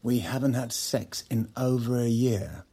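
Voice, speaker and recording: An actor playing a wounded, self-conscious husband in an audio drama, stammering and hesitant with some resentment. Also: male voice, medium pitch, unhurried, warm tone, husky. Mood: embarrassed, hurt. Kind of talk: naturalistic audio drama acting.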